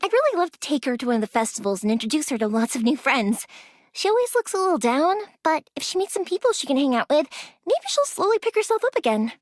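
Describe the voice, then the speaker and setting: A woman's voice: high-pitched, fast, no room sound. An actress voicing a cheerful, playful young woman who makes fireworks, in game character voice lines with a close studio mic. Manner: bubbly and lively, giggling, softens for serious stories.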